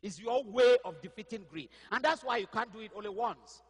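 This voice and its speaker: A man in his fifties whose first language is not English, preaching a sermon on a church stage with a headset mic, animated and gesturing as he searches aloud for words. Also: high voice, a little fast, husky, in a large hall. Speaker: pastor. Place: stage of a large church hall.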